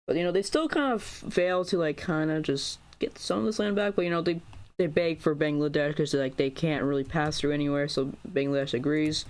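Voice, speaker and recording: A man's voice: fairly high pitch, brisk. A young American man giving casual, rambling commentary over a map video, full of fillers and restarts. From United States.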